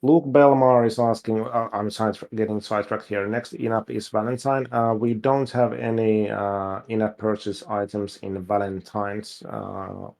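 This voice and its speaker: A man's voice: lowish voice, unhurried, gravelly, hardly any pauses. A man with a Finnish accent, a game developer answering questions casually on a livestream, with many fillers. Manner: relaxed, hesitant, many fillers.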